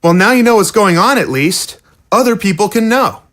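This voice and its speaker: A man's voice: high voice, lively intonation, normal speed, fairly bright tone. An actor playing a wry, grateful boy in an audio drama. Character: grateful, wry kid who made it home.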